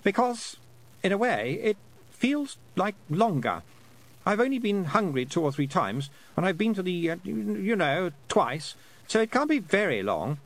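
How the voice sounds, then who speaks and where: A man's voice: high-pitched, big pitch swings, a little slow, pitched above the performer's own voice, heavily acted, low-quality recording. Man putting on a nervous young boy. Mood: anxious. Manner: rambling, hesitant, breathless. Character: nervous boy of twelve, anxious and chatty.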